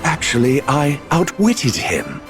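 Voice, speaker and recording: A man's voice: fairly high pitch, huge pitch swings, slow, full character voice. A man playing a suave, smug gambler villain in a video game, with formal, eloquent, teasing speech. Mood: smugly amused. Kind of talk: video game cutscene acting. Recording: loud background music.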